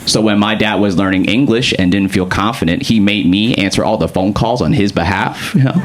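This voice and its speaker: Deep-voiced young comedian on stage. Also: male voice, a little fast. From Boston. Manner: casual, conversational delivery with frequent fillers. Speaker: stand-up comedian. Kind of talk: stand-up comedy set. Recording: comedy club with clear audience noise.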